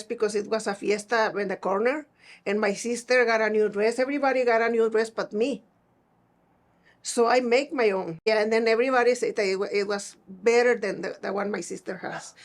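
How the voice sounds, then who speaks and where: Mexican-accented woman telling her own stories. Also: medium pitch. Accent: northern Mexican Spanish. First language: Spanish. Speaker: seamstress being interviewed. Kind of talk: conversational personal storytelling.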